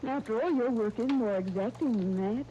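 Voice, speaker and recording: Radio serial niece, played by an actress: young, encouraging, earnest, higher-pitched and soft-toned, on a hissy 1939 disc. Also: female voice, normal speed, natural voice. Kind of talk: 1930s radio serial acting. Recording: muffled, noisy 1939 radio transcription disc.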